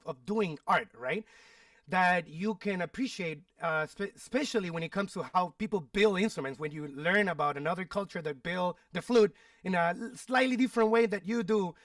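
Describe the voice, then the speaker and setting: High-voiced man from northern Chile. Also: a bit bright, no room sound, studio quality, recorded from a distance. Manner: easygoing, tag questions, many fillers. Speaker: teaching artist, musician. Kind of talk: casual explanation on a webcast.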